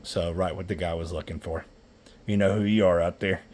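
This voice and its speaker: A man's voice: deep voice, steady tone, brisk, darkish tone. A man giving a casual, folksy product demo of radio gear, muttering informal asides as he tests it. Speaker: radio seller demonstrating equipment. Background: CB radio noise.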